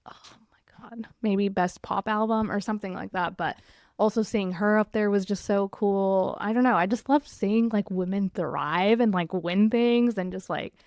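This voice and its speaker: A woman's voice: medium pitch, normal speed, darkish tone, no room sound, studio quality. A young woman co-hosting a podcast, chatting casually and effusively in very informal speech. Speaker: podcast co-host. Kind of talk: casual chat between friends.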